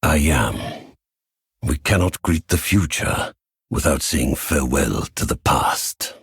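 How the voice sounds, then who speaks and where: A man's voice: rumbling low, animated, slow, fairly bright tone, husky, no room sound, clean studio sound, full character voice. A man playing a grave, resolute elven lord in a fantasy game.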